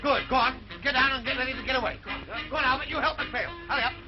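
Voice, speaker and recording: An actor playing a bustling ship's captain in an old film, who halts and hesitates with many fillers. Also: male voice, extremely high pitch, fairly even intonation, quick pace, loud music behind the voice. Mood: brisk. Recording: dull old film soundtrack.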